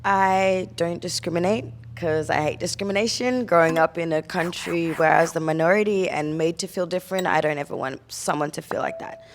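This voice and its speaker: Lower-pitched young woman from Ethiopia. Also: fairly quick, hardly any pauses, slight background noise. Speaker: panel guest. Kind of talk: casual discussion.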